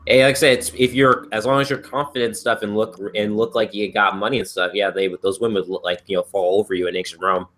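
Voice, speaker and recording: Dude w a lowish voice just rambling on a stream, repeats himself a lot. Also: male voice, steady tone, fast, hardly any pauses, a bit bright. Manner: wordy, repeats himself, circles back to his point. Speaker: co-host of a casual stream. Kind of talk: casual rambling chat.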